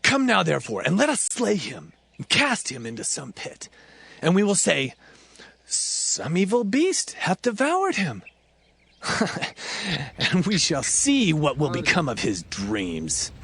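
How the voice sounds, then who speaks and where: A man playing a cruel, mocking brother who plots murder in a Bible drama, with a slow, sneering, menacing manner. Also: a little high, wildly swooping pitch, vocal fry, a bit bright, slightly rough, no echo, heavily acted. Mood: scornful, gloating. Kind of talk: dramatized Bible audio acting.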